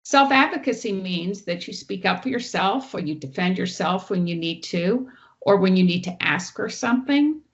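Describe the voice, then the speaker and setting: A woman presenter with a loud teacher voice, giving an online training talk and walking through each slide. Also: mid-range voice, animated, normal speed, lo-fi sound. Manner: explains clearly, walks through each slide. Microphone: online meeting audio.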